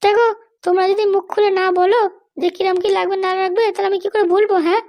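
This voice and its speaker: Woman playing bossy, indignant cartoon lady politician, tiny childlike voice, extremely high, rapid scolding delivery. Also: fairly even intonation, a little mellow. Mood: bargaining, complaining. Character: haughty, threatening female political boss held in jail. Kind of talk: Bengali comedy cartoon dialogue.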